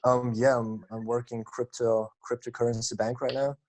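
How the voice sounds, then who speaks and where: Laid-back young man, flat tone. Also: mid-range voice, normal speed, a little hiss or hum, low-quality recording. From Lithuania. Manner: relaxed, laid-back, calls people bro. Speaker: podcast guest. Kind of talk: casual chat.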